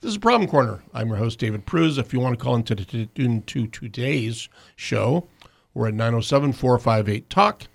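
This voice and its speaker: Raspy-voiced radio host, man. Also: mid-range voice, expressive, moderate pace, no room sound. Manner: jokey, interjecting. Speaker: radio talk show host. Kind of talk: casual radio talk. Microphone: studio microphone.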